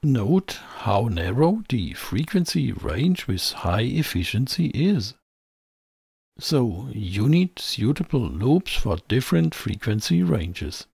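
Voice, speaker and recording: A man reading scripted technical narration as a tutorial presenter, in a measured, explanatory way. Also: mid-range voice, sing-song, creaky, darkish tone, slightly rough, dry recording, studio quality. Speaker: presenter of an electronics tutorial channel.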